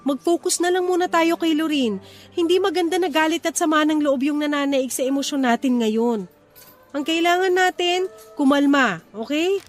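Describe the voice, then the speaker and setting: A steadfast, soothing wife and mother played by an actress in a radio drama. She speaks in a high, earnest voice, reassuring and firm, over faint music. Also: moderate pace, close to an everyday voice. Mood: firm, pleading.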